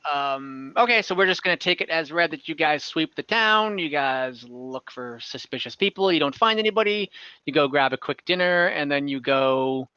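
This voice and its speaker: A man with a bright, slightly high voice hosts a tabletop game as game master. He improvises narration in an informal, halting way, thinking aloud. The sound is dry, close and a little dull. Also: lively intonation, clean tone, no room sound. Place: online voice call from home. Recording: dull, close online call audio.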